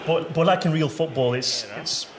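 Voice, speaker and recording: A man's voice: on the high side, moderate pace, noisy background. A man with a Manchester accent, chatting casually and a bit hesitantly as a game developer showing off a football game. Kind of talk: casual chat during gameplay. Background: music behind the voices.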